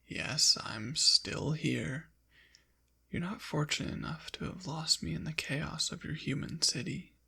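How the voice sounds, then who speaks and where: A man voicing a haughty, possessive ancient demon in an intimate ASMR roleplay, with formal, deliberate diction and a breathy, gravelly whisper. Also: fairly high pitch, bright, forceful tone, full character voice. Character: possessive ancient male demon, haughty, teasing, quietly softening. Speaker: audio roleplay performer.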